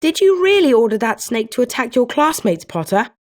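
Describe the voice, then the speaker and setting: A woman's voice: a little high, sing-song, fairly quick. A woman voicing a pointed, nosy young British schoolboy wizard in scripted video game lines.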